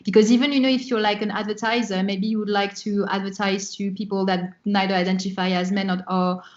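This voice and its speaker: A woman's voice: medium pitch, little pitch movement, a little fast. French-accented woman on a dull remote call. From France, born in Morocco. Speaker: podcast guest, community founder. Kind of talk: casual interview chat.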